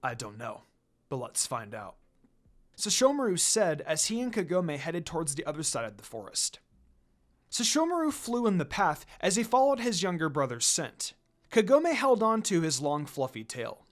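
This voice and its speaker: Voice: mid-range voice, sing-song, normal speed. A reader narrating a story in a dramatic amateur style.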